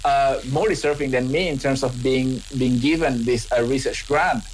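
A man's voice: mid-range voice, moderate pace, slight background noise, poor audio. A man in his thirties with an Indonesian accent, a scientist answering interview questions earnestly, often repeating and restarting words. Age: 30s. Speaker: scientist and business owner.

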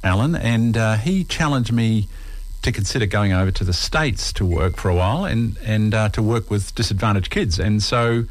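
A man's voice: fairly low pitch, normal speed, rough recording. Chatty New Zealand man in his sixties. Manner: friendly, chatty, repeats words and addresses the host by name. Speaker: interview guest, radio presenter. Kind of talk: casual radio interview.

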